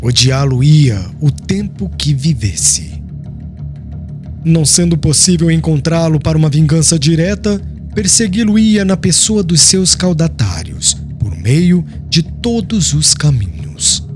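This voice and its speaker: A Brazilian man narrates a literary audiobook in a somewhat high-set voice. His delivery is solemn and measured, with phrases settling downward as they end. Faint music plays behind him. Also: big pitch swings. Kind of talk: scripted literary narration of a religious historical novel. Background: background music.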